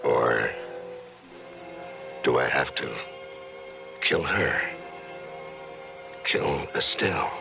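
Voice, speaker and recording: An actor playing a patronizing, coaxing middle-aged judge who hides murderous urges, in old-time radio drama style. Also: male voice, a bass voice, very slow, gravelly, pauses often, music in the background, full character voice. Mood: brooding, calculating.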